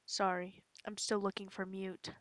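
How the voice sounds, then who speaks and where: A woman's voice: medium pitch, level tone, moderate pace, dry recording. A young woman chatting casually and informally between amateur comic dub readings, giggly and often interrupting herself.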